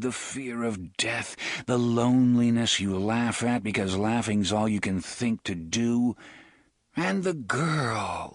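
A man's voice: fairly low pitch, slightly rough, no room sound, studio quality, heavily acted. A man voicing a groaning, seductive otherworldly whisper. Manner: hushed, coaxing, partly whispered. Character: groaning, persuasive otherworldly voice tempting a youth.

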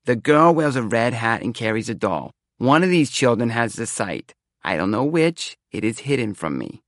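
A man's voice: medium pitch, sing-song, dry recording, clean studio sound, close to an everyday voice. A man voicing a theatrical middle-aged woman fortune-teller with a thick Eastern European accent, portentous and insistent, in an audiobook. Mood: foreboding. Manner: portentous, dramatic pauses. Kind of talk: audiobook character acting.

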